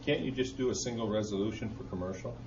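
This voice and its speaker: A man speaking as a board member in a meeting discussion, halting and repeating words as he insists on his point. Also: fairly low pitch. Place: meeting room. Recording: roomy, dull, distant recording with noise.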